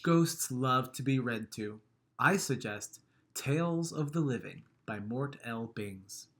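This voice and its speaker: A man reading a children's picture book aloud, gentle and expressive, letting line endings trail off softly and chuckling at the funny bits. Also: medium pitch, slow pace.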